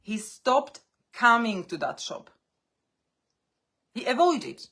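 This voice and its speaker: A woman coaching English learners on a live stream. English is her second language, and she speaks deliberately in short phrases with pauses. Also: a little high, very animated, sharp tone. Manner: non-native English speaker.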